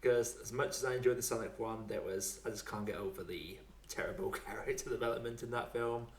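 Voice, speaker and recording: Male, 20s, British, mid-to-high pitched, jokey podcast chatter, recorded in an echoey room. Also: steady tone, fairly quick, a little hiss or hum. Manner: chatty, jokey, laughs often while talking. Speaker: podcast host. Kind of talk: casual film chat.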